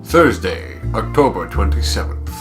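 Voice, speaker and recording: A man's voice: lowish voice, expressive, unhurried, over music. A man co-hosting a let's play, commenting casually with wry, joking banter. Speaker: YouTube let's play co-host.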